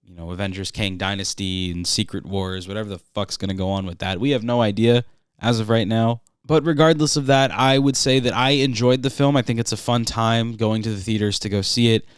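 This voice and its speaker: A young man hosting his own podcast in a relaxed, rambling way, a little congested, with lots of "uh" fillers. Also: fairly low pitch, fairly quick, smooth, dry recording, studio quality. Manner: relaxed, filler-heavy, frequent "uh". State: congested from recent illness. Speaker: podcast host. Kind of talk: casual solo podcast review.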